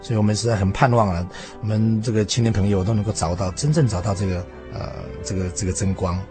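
A man's voice: fairly low pitch, steady tone, quick pace. Male preacher explaining scripture, music behind. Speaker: preacher, interview guest.

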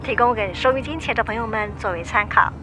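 An actress playing a composed radio host reading a scripted health tip in a measured, informative manner. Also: female voice, fairly high pitch, over music, close to an everyday voice.

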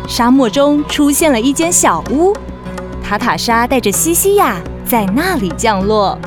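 An actress playing a gentle storybook narrator, reading scripted narration for a children's audio drama. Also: female voice, on the high side, sing-song, a little slow, music in the background. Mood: storytelling.

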